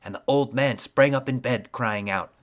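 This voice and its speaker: A man's voice: mid-range voice, moderate pace, close to an everyday voice. A man playing a cunning, obsessed murderer who insists he is sane, narrating a gothic audiobook in a theatrical, emphatic manner. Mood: gloating, secretive. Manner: theatrical, emphatic, repeating words, dropping to whispers.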